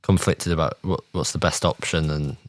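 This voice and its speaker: Very deep-voiced man reviewing, hesitant. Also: fairly even intonation, normal speed, slight background noise. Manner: hesitant, searching for words. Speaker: podcast host reviewing TV. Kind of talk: casual chat review.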